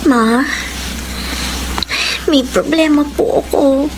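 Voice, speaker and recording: A woman's voice: sits high, very slow, poor audio. A woman playing an abused wife in a Filipino radio drama, plaintive and emotional, pleading yet defiant. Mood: timid, tearful. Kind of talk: Filipino radio drama acting with letter narration. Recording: loud background music.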